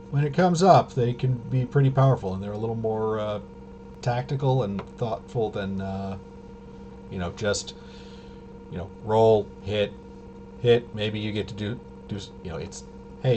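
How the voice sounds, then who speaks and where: Low-pitched man rambling haltingly over noisy hum. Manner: halting, rambling, often trailing off mid-sentence. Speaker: livestream host. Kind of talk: casual unscripted stream commentary. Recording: noisy, with clear background hum.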